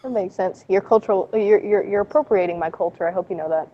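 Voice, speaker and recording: A woman's voice: medium pitch, quick pace. A young woman, a college student, chatting as a guest on an online call, playful and teasing with frequent giggles. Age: young adult. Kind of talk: casual online chat. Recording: dull lo-fi voice chat with faint hiss.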